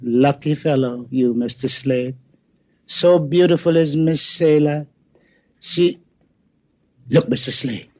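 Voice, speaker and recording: Unhurried, high sidekick. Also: male voice, fairly even intonation, darkish tone, no echo. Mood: easygoing. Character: easygoing Caribbean calypso-singing male sidekick. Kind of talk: 1950s radio drama acting. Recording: muffled old radio recording.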